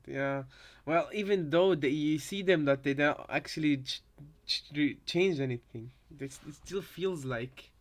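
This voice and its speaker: A man giving casual reaction commentary in a halting way, often repeating himself. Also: on the high side.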